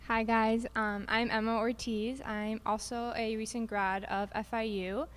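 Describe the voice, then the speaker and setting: Woman in her twenties, monotone and casual, full of "kind of"s. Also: fairly high pitch, measured pace, slight background noise. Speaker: painter on a panel. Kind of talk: casual self-introduction. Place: art gallery.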